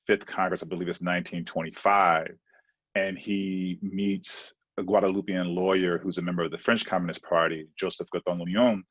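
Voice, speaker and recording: A man with a very low voice speaks as a panelist, pausing and restarting his phrases often. The sound is dry and close, with no room around it. The audio is dull and lo-fi. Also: a bit bright, no echo. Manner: halting, repeated restarts. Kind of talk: academic panel discussion.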